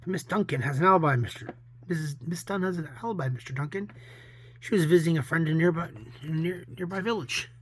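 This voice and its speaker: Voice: mid-range voice, quick pace, slightly rough, close to an everyday voice. A reader voicing a formal police inspector in a comic book story, polite and official. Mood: corrective.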